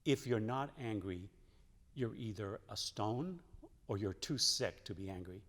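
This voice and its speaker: A man's voice: fairly low pitch, measured pace, fairly bright tone. A man, a church member, reading quotations aloud in a solemn, scripted way. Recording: long hall reverb.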